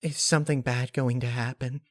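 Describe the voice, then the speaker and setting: A man voicing a shy, gentle young female pony who speaks softly, slowly and hesitantly in a storybook reading. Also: mid-range voice, fairly even intonation, no room sound, studio quality, natural voice. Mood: nervous, awkward. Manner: soft, slow, hesitant. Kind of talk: dramatic fan-fiction character reading.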